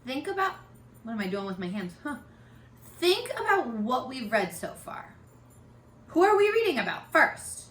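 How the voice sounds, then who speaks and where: A woman teacher giving a read-aloud lesson for young children, expressive and encouraging, asking questions as she goes. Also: high-pitched, moderate pace, pauses often. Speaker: elementary school teacher. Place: living room at home. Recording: roomy, echoey home video.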